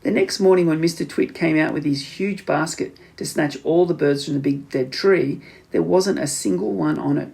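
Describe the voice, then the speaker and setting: A man reading a children's story aloud as an expressive scripted narration. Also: a little high, normal speed, gravelly. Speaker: audiobook narrator.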